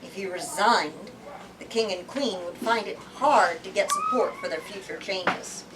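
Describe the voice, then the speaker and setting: A woman hosting a book talk, reading and explaining dramatically with animated, emphatic, theatrical delivery. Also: mid-range voice, measured pace, distant mic, heavy background noise. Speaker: book talk host and reader.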